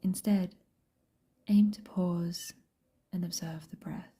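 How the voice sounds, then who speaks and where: Very soft, breathy whispering woman meditation guide. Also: on the low side, steady tone. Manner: gentle, unhurried, measured with long pauses.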